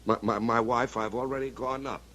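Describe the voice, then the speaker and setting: An actor playing a confused middle-aged amnesiac in an old-time radio drama, husky and faltering as he gropes for words. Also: medium pitch, steady tone, moderate pace, a bit bright, lightly acted. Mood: bewildered, uneasy. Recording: dull lo-fi radio drama recording.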